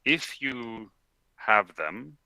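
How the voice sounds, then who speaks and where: A man's voice: medium pitch, animated, very slow, creaky, bright, forceful tone, no room sound, poor audio. A man chatting casually while pair programming, laconic and wry in his remarks. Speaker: programmer co-hosting a live coding session.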